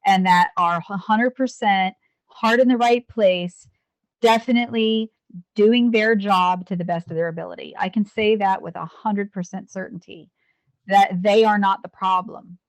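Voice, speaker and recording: Earnest fifty-year-old woman, halting speech. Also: medium pitch, dry recording, poor audio. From United States. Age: 50s. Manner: earnest, halting, with frequent restarts. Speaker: guest, police officer and Navy veteran. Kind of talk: candid interview talk.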